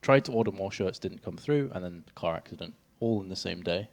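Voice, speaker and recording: Self-mocking English caster, lowish voice. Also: male voice, animated, brisk. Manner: self-mocking, jokey, laughs often. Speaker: esports caster. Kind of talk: casual caster banter. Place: event stage in a venue.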